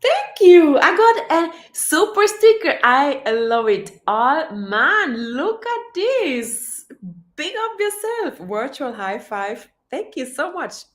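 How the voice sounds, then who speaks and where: A woman's voice: sits high, slow pace. Encouraging woman in an echoey room. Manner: animated, encouraging, repeats herself. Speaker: vlogger reading live chat. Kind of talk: casual livestream chat.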